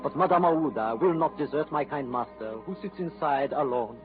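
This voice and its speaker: A high-voiced, fretful French manservant, as a man acts him out pours out theatrical laments in an old-time radio drama. The sound is thin and tinny, like a vintage broadcast, with music playing beneath. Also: animated, normal speed, lightly acted. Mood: despairing. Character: fretful, excitable French manservant, loyal to his master. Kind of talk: 1940s radio drama acting.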